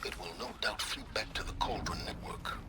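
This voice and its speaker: A man's voice: fairly low pitch, lightly acted. Aloof cerebral strategist played by a man, music behind. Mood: cool, stern. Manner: precise, formal, measured. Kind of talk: video game cutscene acting. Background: dramatic game score.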